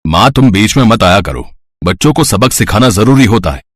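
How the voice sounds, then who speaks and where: A man's voice: fairly low pitch, animated, moderate pace, raised voice. A man playing a tired, callous adult son in a story, irritated and dismissive.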